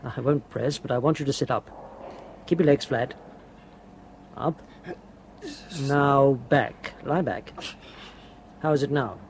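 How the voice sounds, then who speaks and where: An actor playing a careful rig medic who gives gentle instructions. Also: male voice, mid-range voice, slow pace, pauses often, slight background noise, rough recording. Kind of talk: 1970s radio drama acting.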